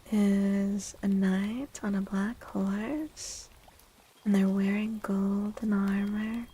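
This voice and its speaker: A soft-spoken woman hosting an ASMR livestream, gentle and unhurried, with a breathy, often whispered voice. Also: mid-range voice, low-quality recording. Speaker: livestream host and tarot reader.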